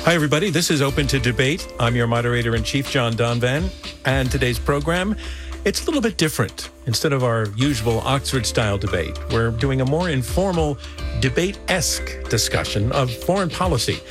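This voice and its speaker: A man's voice: mid-range voice, expressive, fairly quick, over music. A man moderating a panel discussion, genial and easygoing, with small restarts and stumbles as he talks.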